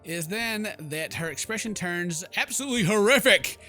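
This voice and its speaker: A man hosting a game playthrough, mixing jokey commentary with theatrical read-aloud narration in an animated manner. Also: high-pitched, normal speed, music in the background. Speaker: gaming video host.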